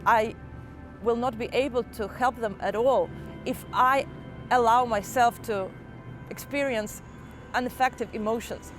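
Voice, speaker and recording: Brief: young Ukrainian-accented woman, earnest and measured, slightly high voice, soft music underneath. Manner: calm, earnest and measured, with small restarts. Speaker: motivational teacher. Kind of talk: personal first-person reflection.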